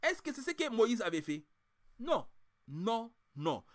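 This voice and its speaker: A male preacher giving a passionate sermon in French, though he now speaks English more. He swings from quiet talk to loud, forceful preaching. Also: very high-pitched, very animated, measured pace, no room sound, studio quality. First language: French, now mainly English-speaking. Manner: impassioned, swings from quiet to shouting.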